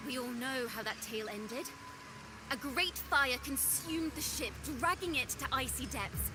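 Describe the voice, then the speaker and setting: High-voiced, eloquent young woman poet over music. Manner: declamatory, measured, poised storytelling. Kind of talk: dramatic game voice acting. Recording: game music under the voice. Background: loud game music.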